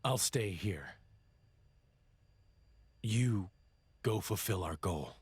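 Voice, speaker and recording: A man's voice: on the low side, animated, very slow, slightly rough, no echo, full character voice. A man playing a weary, aloof warrior in a video game cutscene, terse, solemn and subdued. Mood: resigned resolve. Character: weary, aloof male warrior with quiet resolve.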